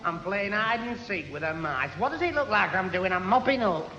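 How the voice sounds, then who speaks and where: Gormless young sidekick, muffled old radio. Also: male voice, high voice, lively intonation, normal speed, pushed and bright, full character voice. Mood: grumpy. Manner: gormless, flustered, stammering. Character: dim, daft young man, hapless put-upon sidekick. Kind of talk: 1960s British radio sitcom acting. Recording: muffled, noisy 1960s radio recording.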